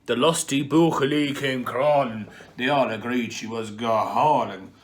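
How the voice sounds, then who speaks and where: A man's voice: medium pitch, unhurried. A man with an Irish accent reciting a comic rhyming ballad in a mock-dramatic, storytelling manner. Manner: mock-dramatic storytelling with big swings in volume. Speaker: performer reading a piece.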